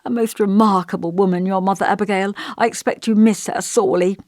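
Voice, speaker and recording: A woman's voice: mid-range voice, fairly even intonation, brisk, a little mellow, dry recording, clean studio sound, natural voice. A woman voicing an elderly male village vicar in an audiobook, kindly, long-winded and fussy, with a pompous, old-fashioned, musing manner. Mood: genial, fussy. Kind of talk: audiobook character dialogue.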